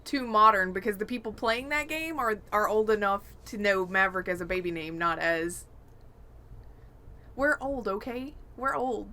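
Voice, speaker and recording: A woman's voice: mid-range voice, expressive, moderate pace, pushed and bright. A woman hosting a casual gaming news chat, speaking in a wry, opinionated way. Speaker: podcast host.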